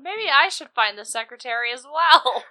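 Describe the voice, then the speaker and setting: Bright, higher-voiced woman playing a game. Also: expressive, moderate pace. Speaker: tabletop game player. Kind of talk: casual in-character banter at a game table.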